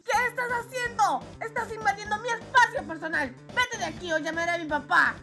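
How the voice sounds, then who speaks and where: A woman's voice: very high-pitched, brisk, music in the background. A woman voicing a grumpy, put-upon, indignant small boy in a cartoon comic fandub, with a Latin American Spanish accent. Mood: indignant, flustered. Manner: indignant, whiny.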